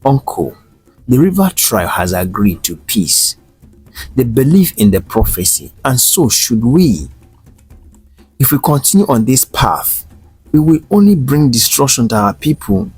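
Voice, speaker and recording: A man voicing a resolute young man in a folktale, pleading earnestly and with deep conviction. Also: sing-song, unhurried, darkish tone, slightly rough, heavily acted. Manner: impassioned, full of conviction. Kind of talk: dramatic folktale character voice. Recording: roomy echo over faint music.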